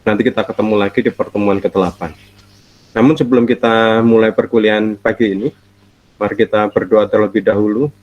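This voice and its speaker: A man's voice: fairly low pitch, fairly even intonation, a little mellow, dry recording. A middle-aged man gives a friendly, chatty online university lecture from home, his voice nasal and stuffy from a cold. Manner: friendly, chatty, laughs often, drawn-out fillers. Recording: dull lo-fi online call audio.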